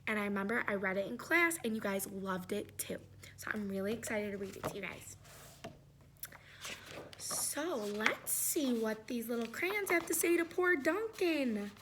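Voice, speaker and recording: A woman's voice: on the high side, lively intonation, normal speed, background noise. A woman teacher reading a children's storybook aloud, with sing-song chatty asides and questions to her young listeners.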